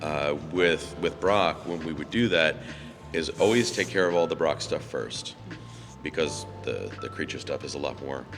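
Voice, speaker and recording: Man, very deep voice, slightly hoarse, rambling convention anecdotes, music behind. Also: moderate pace. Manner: rambling and self-deprecating, with frequent restarts and fillers. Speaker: voice actor guest at a fan convention.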